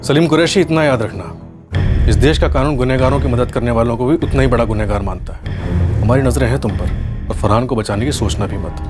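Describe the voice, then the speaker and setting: An actor playing a stern, threatening police investigator with an official, commanding manner in a TV crime drama. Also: male voice, medium pitch, a little fast, over music, lightly acted. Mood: stern warning.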